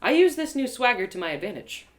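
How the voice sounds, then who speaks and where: A woman performing an animated, expressive first-person fantasy story as a dramatic narrator. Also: a little high, quick pace. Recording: roomy home live reading.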